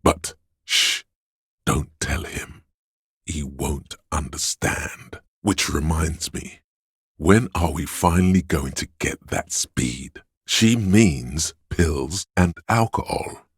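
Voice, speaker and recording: A man voicing a sleazy, hedonistic inner voice of addiction in a video game, with a slow, coaxing, streetwise manner and a deep gravelly, husky sound. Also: no echo, clean studio sound, exaggerated voice. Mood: seductive, hungry. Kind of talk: video game character voice acting.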